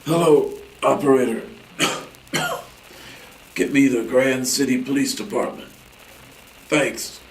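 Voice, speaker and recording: An actor playing a weakened man seeking help in an old-time radio drama, speaking haltingly. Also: medium pitch. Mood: urgent, weak. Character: weakened male captive, searching and pleading for help. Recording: distant echoey room, faint noise.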